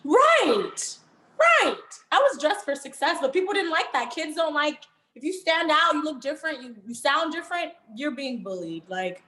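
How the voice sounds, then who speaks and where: A woman's voice: high voice, very animated, moderate pace, pushed and bright, roomy sound. A young woman from Haiti raised in Miami, talking animatedly and laughing often as an interview guest. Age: young adult. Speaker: guest, performer. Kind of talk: casual interview.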